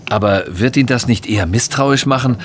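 A man's voice: mid-range voice, a little fast, background noise, close to an everyday voice. A male actor playing a dutiful, slightly uneasy police inspector, earnest, formal and deferential, in a German audio drama. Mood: concerned.

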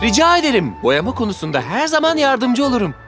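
An actor playing a friendly, helpful young male painter in a children's cartoon, expressive and obliging. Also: mid-range voice, quick pace, close to an everyday voice. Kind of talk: animated children's cartoon dub acting. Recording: music behind the voice.

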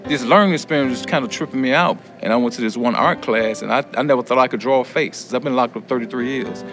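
A man's voice: mid-range voice, fast talker. A middle-aged man with an American accent talks candidly in an interview as a student. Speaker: prison education student. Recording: guitar music under the voice. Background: acoustic guitar music.

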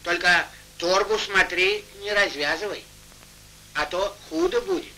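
An actor playing a plucky, cunning fairy-tale soldier with hearty, wily, folksy speech, in 1940s Soviet cartoon style. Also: male voice, high voice, big pitch swings, a little slow, bright, forceful tone, heavily acted, low-quality recording. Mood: respectful. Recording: old 1940s film soundtrack, music behind.

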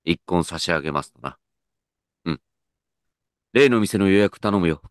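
An actor playing an earnest, dignified company president in a comedic radio drama, with pompous, measured executive speech. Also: male voice, low-pitched, animated, dry recording, clean studio sound. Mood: businesslike.

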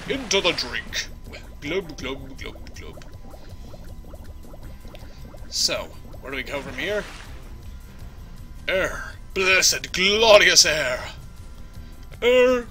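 A man's voice: a little high, pushed and bright. A young man casually commenting on a game he plays, goofy and jokey, chatting to the characters on screen. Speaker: let's play host. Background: game music.

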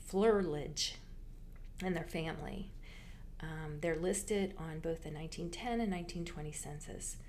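A woman who works as a library genealogist, walking listeners through a slideshow presentation in an unhurried, informative way with frequent fillers and restarts. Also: low-pitched, darkish tone, a little hiss or hum.

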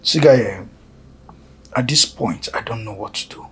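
A man's voice: fairly low pitch, normal speed, slight background noise, natural voice. An actor with a Nigerian accent playing a troubled young man who talks in a subdued, serious way. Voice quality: husky. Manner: subdued, serious, half-whispered.

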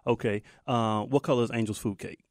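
A man's voice: fairly low pitch, fairly even intonation, fairly quick, dry recording, clean studio sound. A man co-hosting a radio talk show, explaining his points by asking rhetorical questions. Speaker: radio co-host. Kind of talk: casual studio chat.

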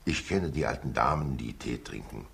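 An actor playing a sly, jovial, heavyset older state councillor in a 1960s radio crime drama, avuncular and theatrical, repeating himself, with a gravelly, husky voice. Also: male voice, rumbling low, animated, normal speed, fairly bright tone, heavily acted. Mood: jovial, confiding.

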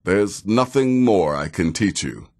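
An actor playing a seasoned, sardonic assassin in a video game, speaking in a measured, dry way with wry menace. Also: male voice, low-pitched, expressive, a little mellow, exaggerated voice. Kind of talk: video game character dialogue.

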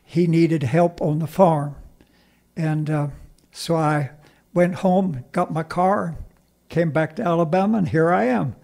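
A man's voice: on the high side, a little slow, gravelly, a little mellow. A man in his eighties from Pennsylvania with a Pennsylvania Dutch accent, casually reminiscing as a radio interview guest in a folksy way. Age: 80s. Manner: folksy, chuckles often.

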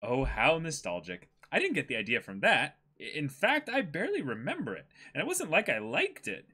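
A young man co-hosts in a casual style. His voice is bright and a little high, with very lively swings in pitch as he chats and reads aloud. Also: brisk, husky. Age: young adult. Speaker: co-host. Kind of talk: casual chat and reading aloud.

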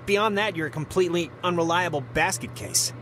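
A man's voice: on the high side, a little fast, a bit bright, a little hiss or hum, close to an everyday voice. A young American man playing a blunt, skeptical teenage boy in a video game, dry and sarcastic in delivery. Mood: argumentative. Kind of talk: naturalistic conversational video game acting.

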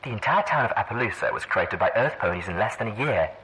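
A man's voice: lowish voice, animated, fast talker, sharp tone. A man voicing a smug, silky female pony overseer with a condescending manner in an audiobook. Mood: silky challenge. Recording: faintly noisy old audio log.

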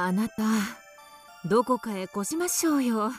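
A woman's voice: fairly high pitch, expressive, unhurried, soft background music. An actress playing an anxious, genteel wife and mother in a radio drama, speaking in a ladylike, fretful way. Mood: anxious, pleading.

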